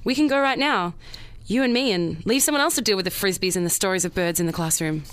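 A woman's voice: medium pitch, fast, clean tone, natural voice. A woman playing a confident, persuasive schoolteacher in a noir radio play, with a casual, coaxing manner.

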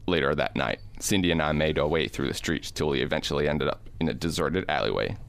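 Hardboiled private eye narrator played by a man, very low, creaky, world-weary, deadpan, for noir radio drama. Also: fast, no room sound, heavily acted.

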